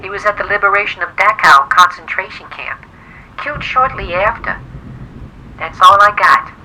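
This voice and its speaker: A woman's voice: mid-range voice, lively intonation, normal speed, sharp tone, slight background noise, poor audio. A woman playing a sardonic but loyal private eye's secretary in a noir radio play, wry and matter-of-fact. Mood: businesslike.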